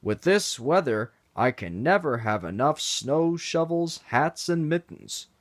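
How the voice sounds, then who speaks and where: This is a friendly village shop owner in a children's story, cheerful and thankful. A man acts him out at a slow pace, with a lot of melody in his voice. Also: on the high side, big pitch swings, voice pushed up.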